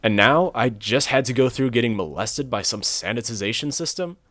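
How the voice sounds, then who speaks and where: A man's voice: medium pitch, expressive, brisk, a bit bright, lightly acted. A young man acting a sarcastic, wounded son in an audio drama, with a wry, dramatic, mock-formal manner. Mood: bitter, hurt. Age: young adult. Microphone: close studio condenser with pop filter.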